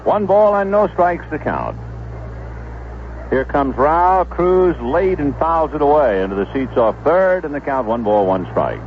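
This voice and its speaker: A man doing live radio baseball play-by-play, describing the action in measured phrases with pauses between them. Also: on the high side, sing-song. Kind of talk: live radio sports commentary. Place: stadium broadcast booth. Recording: muffled 1970s AM radio broadcast, background noise.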